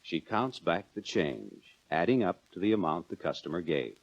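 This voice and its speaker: An American man narrating a 1940s instructional film in a measured, instructive manner, addressing the viewer directly. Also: sits low, sing-song, gravelly. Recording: dull lo-fi 1940s film soundtrack.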